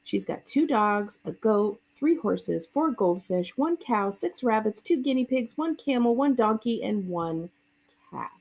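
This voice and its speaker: A woman's voice: on the high side, animated, a little slow, darkish tone, low-quality recording. A woman, a librarian reading a children's book aloud with casual asides.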